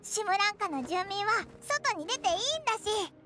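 Squeaky, chirpy little fairy. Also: female voice, lively intonation, normal speed, over loud music. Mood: persuasive. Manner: childlike, brash. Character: tiny, chirpy, excitable female fairy companion. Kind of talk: Japanese game voice acting.

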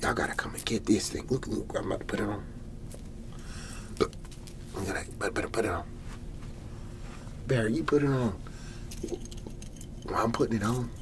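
A man playing a folksy, excitable garage-sale salesman in an ASMR roleplay, whispery and breathy, rambling and repeating his hard sell. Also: on the low side, normal speed, long pauses, background noise, lightly acted. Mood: pleased, insistent. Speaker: host playing a character.

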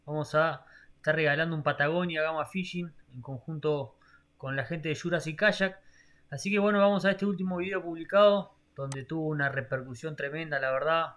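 A man's voice: fairly high pitch, a little fast, a bit bright. Upbeat man running a giveaway. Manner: grateful, upbeat, loose filler phrases. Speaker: YouTube channel host. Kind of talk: casual giveaway announcement.

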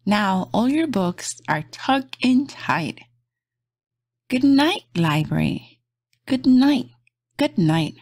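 Female children's read-aloud host: gentle, encouraging, slow and expressive, recorded dry and close. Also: mid-range voice, no echo. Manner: gentle, sing-song, encouraging.

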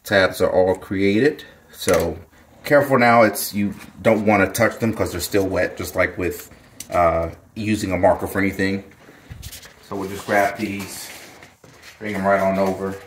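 A middle-aged man hosting a gardening channel, giving a relaxed, chatty how-to demonstration with jokey asides in a home kitchen. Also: sits low, a little slow, a little hiss or hum.

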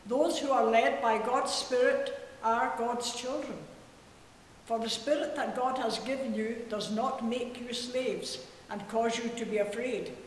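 A woman reading scripture at a church service in a solemn, measured and deliberate way. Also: a little high, steady tone. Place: inside a church. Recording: very echoey church hall, faint hiss.

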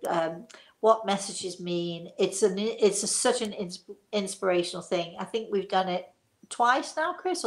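A woman in her sixties hosts a casual chat in an effusive, wandering way. Her voice has a marked creak and sits at a middling pitch. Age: 60s. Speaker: host. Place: living room, indoors.

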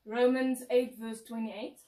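A woman's voice: fairly high pitch, fairly even intonation, a little slow. Late-teen woman, South African accent, playful; set-back mic in echoey room. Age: late teens. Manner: warm, playful, emphatic. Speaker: co-presenter being interviewed. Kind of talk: casual interview chat. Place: indoor lounge set, seated on couch. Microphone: far camera mic. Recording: roomy echo, distant mic.

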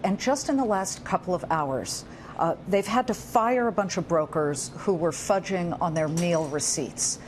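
A woman interviewing a guest for business television, asking brisk, pointed questions. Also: lowish voice, expressive, a little mellow, slight background noise. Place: restaurant bar set on a TV show.

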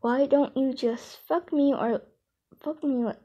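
A woman voicing a hot-headed, flustered young man in an amateur comic dub, halting and dramatic. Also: sits high, dark tone, breathy edge, highs cut off. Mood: tense, flustered.

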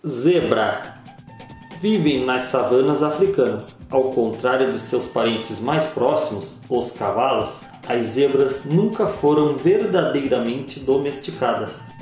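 Male narrator for a kids' animal video, slow and measured, very echoey voice-over, soft music behind. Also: medium pitch. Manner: slow, measured reading with pauses. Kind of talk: scripted educational narration.

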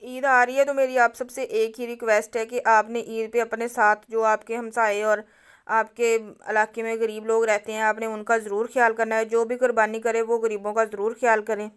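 A woman, narrating a home cooking recipe step by step and speaking directly to her viewers in a calm, instructive way. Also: on the high side, moderate pace, clean tone. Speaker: cooking channel host.

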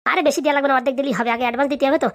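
A woman voicing a harried, scheming young man in a comic cartoon, wheedling and excitable. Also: sits high, rapid-fire. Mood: pleading, insistent.

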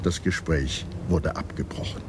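A man reading scripted historical documentary narration in a measured, declarative storytelling manner. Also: very deep voice. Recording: clear background noise and ambience.

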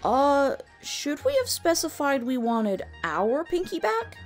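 A woman's voice: sits high, sing-song, slow pace, faint music behind, exaggerated voice. A woman voicing a wary little boy dragon sidekick in a cartoon comic dub, hesitant and childlike. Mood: worried.

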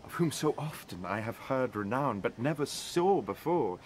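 Man acting earnest young prince, mid-pitched. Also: sing-song, moderate pace, natural voice. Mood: devoted, earnest. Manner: earnest, formal. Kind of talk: Shakespearean audio drama acting. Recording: clear background noise, ambience.

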